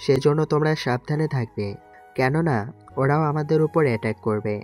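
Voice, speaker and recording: A man's voice: medium pitch, fairly quick, darkish tone, natural voice. Composed navy mission commander played by a man, music underneath. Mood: commanding, serious. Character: composed, duty-bound navy mission commander and reserved fiancé. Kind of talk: Bengali audio story acting.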